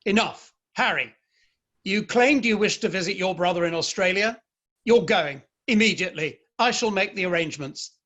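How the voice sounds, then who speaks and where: A proud, stern Victorian father and man of letters, acted by a man in a play reading. His voice is notably high for a man. He speaks formally and emphatically, with firm opinions. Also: moderate pace, bright, forceful tone, highs cut off. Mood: stern, indignant.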